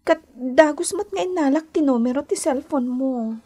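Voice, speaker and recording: A woman's voice: high voice, moderate pace, mellow, lightly acted. A woman playing a scheming, lovelorn young woman in an Ilocano radio drama, pleading and insistent, with an Ilocano accent. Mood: coaxing, lovelorn. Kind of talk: Philippine radio drama acting.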